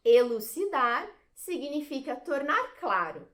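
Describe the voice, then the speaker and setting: A woman plays a bubbly little fairy in an audio drama. The voice is high and very lively, sing-song and theatrical, with a teasing edge. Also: takes it slowly, full character voice. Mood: grand, cheerful. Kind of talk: audio drama stage acting.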